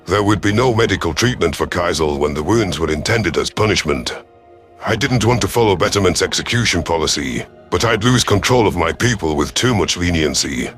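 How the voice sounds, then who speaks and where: Deep-voiced male sci-fi narrator. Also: lively intonation, fairly quick, a bit bright, soft background music. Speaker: audiobook narrator. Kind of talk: first-person dramatic sci-fi story narration.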